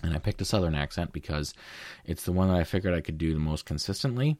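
A young man with a deep voice, casually telling a long story as a guest in a conversation, with fillers and restarts. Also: lively intonation, quick pace, darkish tone, no room sound. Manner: rambling, with fillers.

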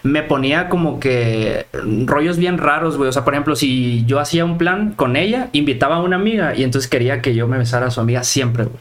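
A man with a Mexican accent hosting a podcast, talking in a relaxed way full of slang and fillers. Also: mid-range voice, quick pace, few pauses. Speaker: podcast host. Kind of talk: casual podcast interview.

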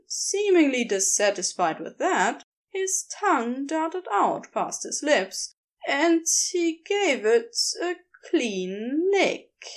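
Female story narrator, higher-pitched, expressive and animated, very unhurried pace. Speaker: podfic narrator.

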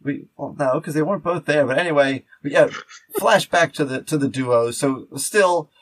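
A man's voice: on the high side, moderate pace, dry recording. A man hosting a podcast in casual chat, rambling and often interrupting himself mid-sentence. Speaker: podcast host.

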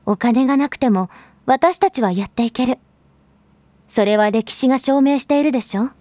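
Woman as a pensive, mellow, mysterious female narrator. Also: sits high, dry recording, lightly acted. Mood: earnest, hopeful. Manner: measured, earnest, intimate direct address. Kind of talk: Japanese audio drama monologue.

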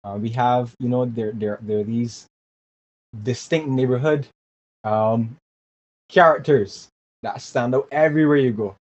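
A man's voice: mid-range voice, fairly even intonation, measured pace, pauses often. A man, speaking earnestly as an interview guest and often repeating words while he finds his phrasing. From Barbados. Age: 20s. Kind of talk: casual interview talk. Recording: dull lo-fi remote audio with faint hiss.

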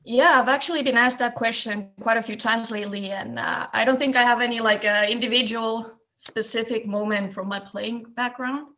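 A woman in her twenties from Eastern Finland with a Finnish accent, telling stories as a guest on a podcast, relaxed and full of fillers. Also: fairly high pitch, moderate pace, hardly any pauses. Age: 20s. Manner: relaxed storytelling with many fillers. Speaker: guest, basketball coach. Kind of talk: casual podcast interview.

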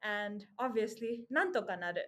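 A woman's voice: medium pitch, expressive, moderate pace, fairly bright tone. A young woman from Nepal hosting a student podcast in a casual, upbeat and friendly way. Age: young adult. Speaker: podcast host.

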